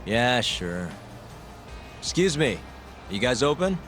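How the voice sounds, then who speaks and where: An actor with an American accent playing a cool, nonchalant detective with a dry, casual delivery in a video game. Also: male voice, mid-range voice, big pitch swings, slow pace, a bit bright, faint music behind.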